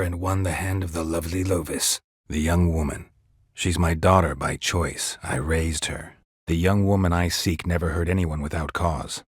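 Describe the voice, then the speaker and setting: Casting: stoic male monster hunter for fantasy game; bottom-of-range gravelly husk, gruff, terse, deadpan. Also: dry recording, studio quality, exaggerated voice. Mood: earnest. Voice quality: gravelly, husky low voice. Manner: deadpan, clipped, laconic. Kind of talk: fantasy video game voice acting.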